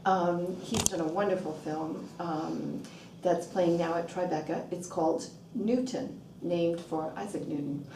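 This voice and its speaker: A woman's voice: fairly low pitch, unhurried, hardly any pauses. A woman film critic interviewing a guest, curious and affirming, often saying wow and okay. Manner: curious, warm, frequent affirmations. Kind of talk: interview conversation. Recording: roomy, distant, faint noise.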